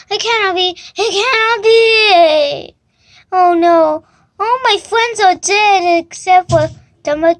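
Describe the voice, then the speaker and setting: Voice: extremely high pitch, pushed and bright, no echo, exaggerated voice. A young female amateur voicing an insecure, clingy cartoon unicorn critter in a whiny, pleading, melodramatic way. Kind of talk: amateur character voice acting.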